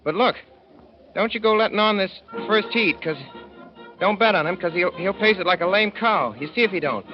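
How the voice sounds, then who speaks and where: A man's voice: high-pitched, normal speed, natural voice. An actor playing a naive young man from the Midwest who rambles through his story in a folksy, boyish way, on an old radio play. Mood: eager. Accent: Midwestern American. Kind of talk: 1930s radio drama narration. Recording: dull 1930s radio broadcast with music.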